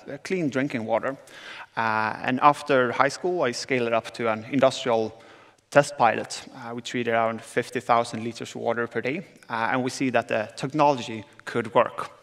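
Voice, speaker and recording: Young swedish guy on a panel, gravelly voice, uhs everywhere, long hall echo. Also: medium pitch, a bit bright. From southern Sweden. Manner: hesitant, many filler sounds. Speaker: entrepreneur, panel guest. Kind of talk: panel talk on stage. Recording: reverberant conference hall.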